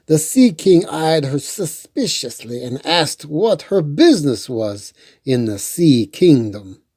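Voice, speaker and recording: A children's storytime host reads a picture book aloud in a soft-edged, mellow tone. The pace is slow and the pitch swings widely, with expressive storytelling and playful little asides. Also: no room sound, clean studio sound.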